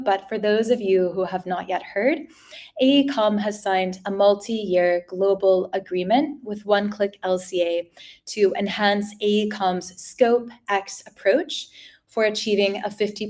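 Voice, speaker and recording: A woman's voice: mid-range voice, darkish tone. A woman hosts a live online webinar. Her introductions are upbeat and scripted, with an occasional filler slipping in. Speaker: webinar host.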